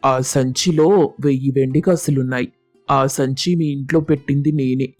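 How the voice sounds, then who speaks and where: A man acting a humble, honest young villager in a moral storybook, speaking in a measured, respectful, pleading way. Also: mid-range voice, fairly even intonation, darkish tone, no echo, faint music behind, close to an everyday voice. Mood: earnest, explaining. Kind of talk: acted moral storybook dialogue.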